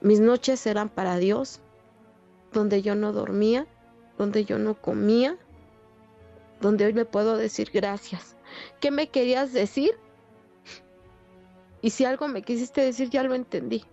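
A woman's voice: medium pitch, a little slow, vocal fry, pauses often, dark tone, dull audio, faint music behind. A Mexican woman in her forties telling her personal story as a guest in a casual interview. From State of Mexico, Mexico. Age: 40s. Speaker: interview guest, online creator.

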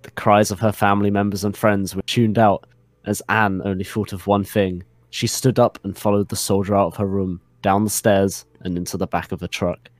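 A man's voice: lowish voice, level tone, moderate pace, no echo. A man narrating a comic dramatic reading of fan fiction with mock solemnity.

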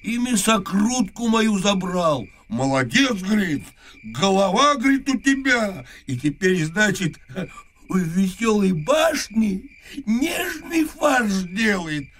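Drunken old inventor, high swooping voice, slow slurred rambling, maudlin, radio play, faint noise. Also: male voice, very animated, a bit bright, heavily acted. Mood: bitter, mocking. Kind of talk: radio drama acting.

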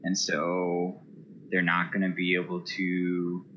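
A man's voice: sits low, completely flat delivery, takes it slowly, pushed and bright. A man with an American accent calling in to a show to give his opinion casually. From Iowa, United States. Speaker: caller to an esports show. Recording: noisy voice-chat call.

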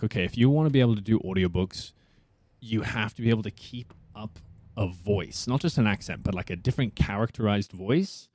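Husky soothing-voiced South African man. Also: fairly low pitch, dark tone, dry recording, clean studio sound. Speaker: actor and voice actor. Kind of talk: casual podcast chat.

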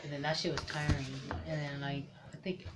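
A man's voice: fairly high pitch, monotone, a little fast. Slangy young man, noisy recording. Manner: loose slangy storytelling, swears freely. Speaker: podcast co-host. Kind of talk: casual chat.

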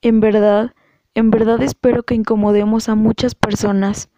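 A woman with a Mexican accent giving an earnest, measured testimony as a guest speaker. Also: fairly high pitch, level tone, dark tone, slight background noise. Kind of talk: prepared testimony.

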